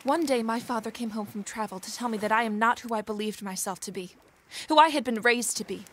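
Poised young Regency lady, played by an actress, high-voiced. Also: brisk, noisy background, natural voice. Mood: wounded, firm. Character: proud, wounded, gentle young Regency lady. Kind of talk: period audio drama acting.

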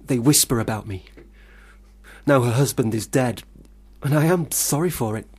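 An actor playing an earnest, prim, troubled young poet in a radio play, husky and formal in his phrasing. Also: medium pitch, normal speed, darkish tone, lightly acted. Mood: defensive.

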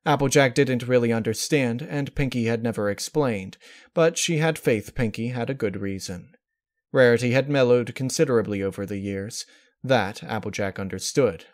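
A man reading scripted fan fiction as its narrator. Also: mid-range voice.

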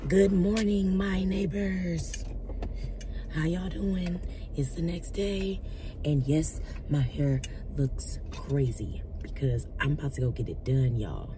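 A woman's voice: on the low side, takes it slowly. A young woman, chatting casually to the camera from her car and calling viewers y'all. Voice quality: raspy. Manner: chatty, addresses viewers as y'all, lively hand gestures. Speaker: vlogger. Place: parked or driving car, indoors of vehicle. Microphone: phone camera on dashboard. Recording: car noise behind.

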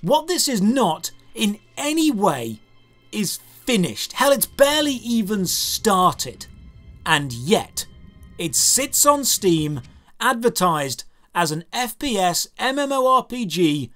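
Man, game reviewer, scripted narration, lively intonation, exasperated, emphatic, music behind. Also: high-pitched, slow pace. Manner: emphatic, exasperated, rhetorical repetition. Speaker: game reviewer and video host. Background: background music.

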